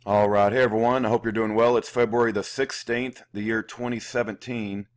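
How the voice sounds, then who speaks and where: A man hosting an unscripted political commentary, indignant and insulting, halting and repeating himself as he goes. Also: fairly low pitch, flat delivery. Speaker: video host, activist commentator.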